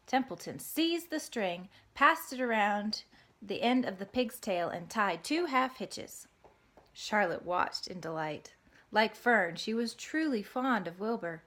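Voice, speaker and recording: A woman's voice: mid-range voice, fairly bright tone. Woman reading a children's story aloud. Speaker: storybook reader. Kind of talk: expressive read-aloud narration of a children's story.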